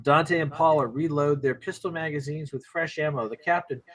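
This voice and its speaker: Male narrator, slightly high register, reading action lines for an informal screenplay table read. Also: steady tone, moderate pace, a bit bright.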